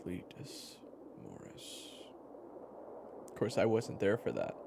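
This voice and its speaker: The gravelly man playing the weary protector in an audio roleplay, spinning wry, halting tales to a companion in a shelter full of background noise. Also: lowish voice, wildly swooping pitch, full character voice. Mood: wry, reminiscing. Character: weary, protective male storyteller survivor, teasing and patient. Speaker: character narrator. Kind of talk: conversational in-character storytelling, audio roleplay. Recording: clearly noisy background ambience.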